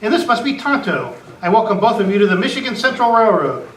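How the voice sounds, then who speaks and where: A man's voice: high-pitched, sing-song, fast talker, a bit bright. A man playing an officious but courteous railroad conductor in an amateur radio western. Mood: helpful. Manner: calling out, courteous. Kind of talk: amateur old-time radio western re-enactment. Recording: echoey noisy meeting room, distant mic.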